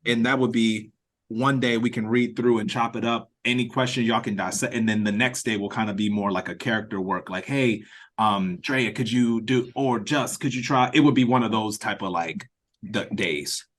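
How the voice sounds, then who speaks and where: A man leading an online meeting in casual chat, speaking informally and haltingly as he thinks aloud. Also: on the low side, fairly bright tone. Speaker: writer-director leading the meeting. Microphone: video call.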